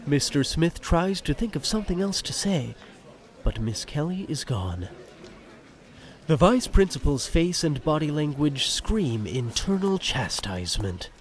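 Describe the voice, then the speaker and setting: A man's voice: fairly high pitch, very animated, a little slow. A man narrating a scripted audio drama, describing the scenes.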